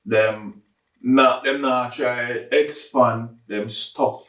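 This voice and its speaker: A man's voice: mid-range voice, fairly even intonation, very slow, a bit bright. Jamaican man in an echoey, distant recording. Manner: emphatic, repeats words as he builds points. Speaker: podcast host. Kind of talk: casual discussion and storytelling. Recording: echoey room, distant from mic.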